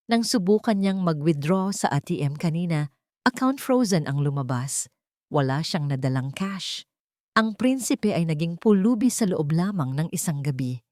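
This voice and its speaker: A woman narrates a dramatic audio story from a script. Her voice sits low, and the recording is dry and close, with no room around it. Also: darkish tone, dry recording.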